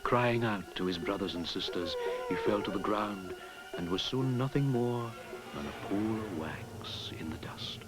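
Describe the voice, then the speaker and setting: A man narrating a folktale in an expressive storytelling manner, sometimes dropping to a whisper. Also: fairly low pitch, measured pace, poor audio. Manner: expressive storytelling, drops to a whisper at hushed moments. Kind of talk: storybook narration over music. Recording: narration with clear music behind.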